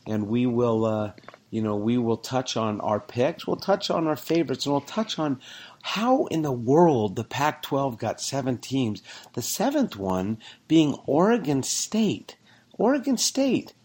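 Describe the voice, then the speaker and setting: A man's voice: medium pitch, very animated, a little slow, a little mellow. Rambling, jokey man co-hosting a podcast. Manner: rambling, jokey, gets worked up over stats. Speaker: podcast co-host. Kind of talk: casual sports podcast chat.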